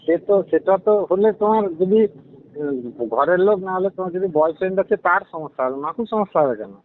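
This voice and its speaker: High-pitched man on a tinny phone line. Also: fast, slight background noise. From West Bengal, India. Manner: protesting, questioning. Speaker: prank call victim. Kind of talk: casual phone conversation. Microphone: telephone.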